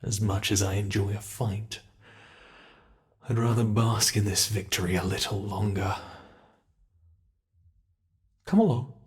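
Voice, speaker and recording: A man's voice: fairly low pitch, steady tone, moderate pace, close to an everyday voice. A man voicing a centuries-old predatory monster in an ASMR roleplay, theatrical and taunting, savoring each phrase with haughty, possessive menace. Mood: smug, triumphant.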